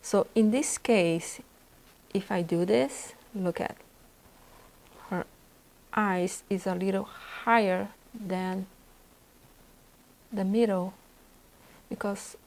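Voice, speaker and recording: A woman's voice: mid-range voice, steady tone, slow, vocal fry, pauses often, darkish tone, rough recording. A woman with a Brazilian Portuguese accent, a native Portuguese speaker, teaching a portrait drawing lesson and thinking aloud as she sketches. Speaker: art instructor. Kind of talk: drawing demonstration.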